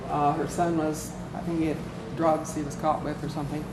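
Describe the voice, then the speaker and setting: A woman with a low voice gives an informal presentation in measured, paused phrases. The room echoes and there is clear background noise. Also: flat delivery. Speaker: program volunteer presenting. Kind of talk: informal case-study presentation. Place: workshop room.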